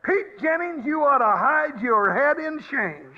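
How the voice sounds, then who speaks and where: Very high-voiced, folksy old cowboy sidekick. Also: male voice, sing-song, unhurried, heavily acted. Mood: gloating. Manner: folksy, chuckling, colorful western slang. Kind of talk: 1950s western radio drama acting. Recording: dull, noisy old radio recording.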